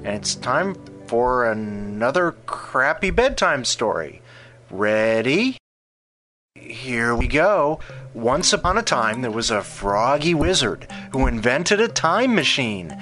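A man playing a befuddled children's bedtime storyteller in a halting, mock storybook style. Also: mid-range voice, sing-song, bright, forceful tone, soft background music, heavily acted. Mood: slow, storytelling.

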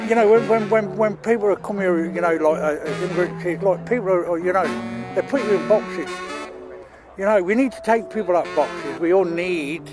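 A man who runs a community cooking project, speaking informally and haltingly, often repeating words. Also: high voice, low-quality recording. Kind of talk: informal interview. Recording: loud music behind the voice. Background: music.

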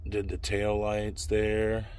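A man's voice: fairly low pitch, completely flat delivery, slow pace, a little mellow. A man giving laid-back, slangy, admiring off-the-cuff commentary as he films a car walkaround. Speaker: car show vlogger. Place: outdoor car auction lot, at times inside a car. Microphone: handheld camera, close behind it. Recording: outdoor car lot ambient noise.

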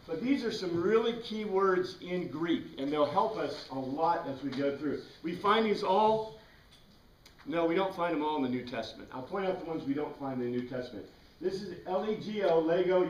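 A man's voice: high voice, expressive, normal speed. A middle-aged man with an American accent giving an informal classroom lecture, rambling conversationally and putting questions to the class. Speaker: class teacher. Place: small classroom with whiteboard and bookshelves. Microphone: far camera across the room. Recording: echoey room, distant mic, background noise.

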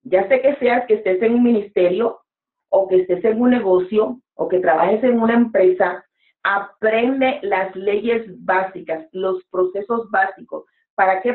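A woman's voice: medium pitch, moderate pace. Woman preacher in her 40s giving earnest advice over a lo-fi, echoey video call. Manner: earnest, exhorting, with gestures. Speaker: guest preacher. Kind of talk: motivational interview talk. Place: home room with bookshelves. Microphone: webcam on a video call. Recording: echoey room, phone-like sound.

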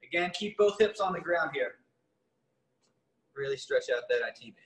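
A man's voice: fairly high pitch, expressive, moderate pace, a bit bright. A young man leading an online workout class from a gym, giving upbeat, encouraging stretching cues. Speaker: fitness coach. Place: large gym with rigs, indoors. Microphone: far camera. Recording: echoey gym room.

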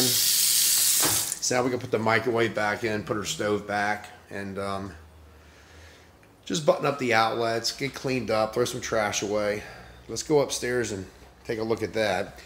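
A man's voice: on the low side, steady tone, moderate pace, noisy background. A middle-aged man, a renovation contractor, casually walking through a house with a handheld phone and talking about the work. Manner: casual, plain-spoken, upbeat. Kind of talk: walkthrough vlog. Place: house under renovation, indoors. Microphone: phone camera, handheld while walking. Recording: echoey empty rooms.

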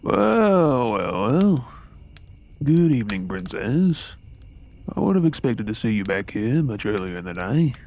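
A man's voice: mid-range voice, sing-song, unhurried, a little mellow, a little hiss or hum. A man with an Australian accent playing a resentful undercover soldier posing as a royal servant in an audio role-play, brooding in asides and formally deferential in address. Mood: startled, concerned. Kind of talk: scripted ASMR audio role-play acting.

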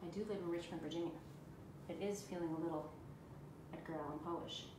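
A woman's voice: on the low side, fairly even intonation, brisk. Chatty woman teaching painting, echoey room. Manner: chatty and encouraging, talks to viewers while working. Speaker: furniture painting instructor. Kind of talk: live-streamed painting tutorial. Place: room indoors, seated on floor by a cabinet. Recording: echoey room with background noise.

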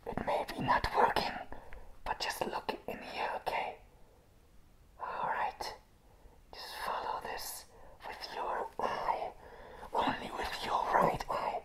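A young man playing a crazy, eager circus clown in a whispered ASMR roleplay, breathy and obsessively repeating words. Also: slow, pauses often, fairly bright tone, low-quality recording. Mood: eerily eager.

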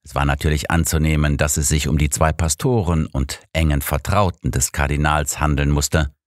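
Audiobook narrator, man: deep, measured storytelling for detective fiction, dry studio sound. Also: flat delivery, no room sound. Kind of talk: scripted first-person detective story narration.

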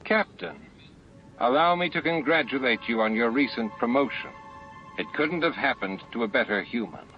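A man's voice: medium pitch, animated, normal speed, voice pushed up, natural voice. A man voicing a smug, supercilious male alien military commander with falsely courteous manners in an audiobook. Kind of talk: audiobook character dialogue. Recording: muffled lo-fi audiobook with music.

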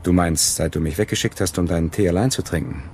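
Wry, persistent male friend, radio thriller. Deep voice, dry pointed delivery. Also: quick pace, creaky, darkish tone, slight background noise. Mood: wry, probing. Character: wry, persistent man who follows a woman friend. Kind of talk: German radio crime drama acting.

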